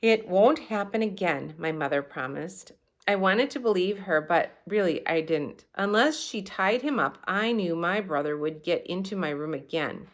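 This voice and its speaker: A woman narrates a children's story aloud. Her voice sits a little low, yet moves widely in pitch. She tells it in an animated way, as someone acting out the tale. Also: moderate pace. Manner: animated, acts out the dialogue. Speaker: storybook reader.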